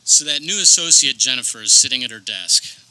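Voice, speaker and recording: A man's voice: medium pitch, animated, a little fast, pushed and bright, rough recording. A man reading scripted narration for a comedic radio play.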